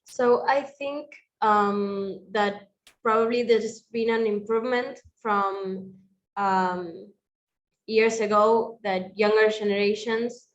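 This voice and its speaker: A woman's voice: mid-range voice, fairly even intonation, slow, lo-fi sound. A young woman student answering a seminar question, thoughtful and hesitant, on a video call. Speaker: student presenter.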